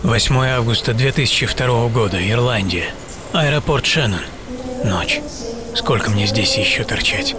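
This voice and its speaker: A man's voice: medium pitch, normal speed, close to an everyday voice. A man playing a famous, gloomy English actor in a wry, theatrical radio monologue. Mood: gloomy, irritable. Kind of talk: dramatised first-person monologue, radio play. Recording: loud music under the voice. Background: loud background music.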